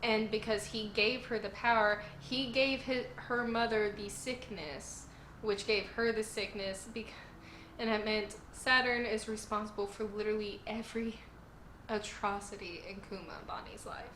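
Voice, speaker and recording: A woman's voice: mid-range voice, fairly even intonation, moderate pace, fairly bright tone, slight background noise. Woman host, sore-throated and emotional, reviewing from a roomy space. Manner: earnest and emotional, gushing. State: sore throat, voice sounding strained. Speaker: channel host reviewing manga chapters. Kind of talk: casual video review.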